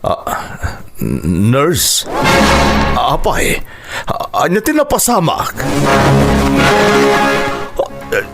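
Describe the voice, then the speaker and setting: An actor playing a worried, devout elderly husband and father in an Ilocano radio drama, consoling and halting in his emotional delivery. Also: on the high side, huge pitch swings, loud music behind the voice, full character voice. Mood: alarmed, anxious.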